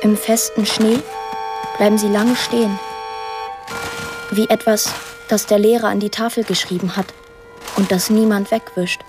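An actress voicing a lonely, imaginative eight-year-old girl in a radio drama monologue, plain, deliberate and earnest. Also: medium pitch, little pitch movement, over music, lightly acted. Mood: wistful, matter-of-fact.

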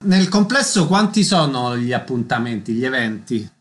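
A man's voice: medium pitch, sing-song. Man hosting a radio arts interview. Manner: brisk, formal announcing. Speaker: radio host.

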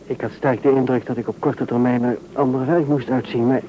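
An actor playing a gentle, hesitant, sensitive fiancé in an old Dutch radio play, speaking softly. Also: male voice, medium pitch, fairly even intonation, fairly quick, noisy background, natural voice. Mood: thoughtful. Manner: hesitant, soft-spoken.

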